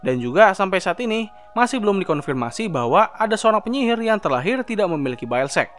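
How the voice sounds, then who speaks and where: A young man narrates an explainer in a high-set, very lively voice. He moves along quickly and keeps the tone informal and chatty, like a host talking to viewers. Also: a little hiss or hum. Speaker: video essay host. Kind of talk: scripted explainer narration with casual asides. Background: background music.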